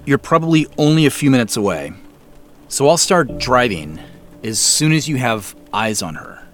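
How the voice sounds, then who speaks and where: An actor playing an earnest, cautious amateur sleuth in an audio drama, speaking in a measured, conversational way. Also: male voice, medium pitch, big pitch swings, a bit bright. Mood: earnest, careful. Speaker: lead character and narrator. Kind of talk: scripted audio drama, first-person narration and naturalistic phone dialogue. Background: background music.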